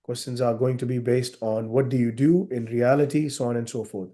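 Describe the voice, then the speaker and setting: A man in his thirties on a video call, briefing a student before an oral exam; he is under the weather, with a husky voice and frequent throat clearing, speaking in a measured way with many fillers. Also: mid-range voice, fairly even intonation, warm tone. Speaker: examiner.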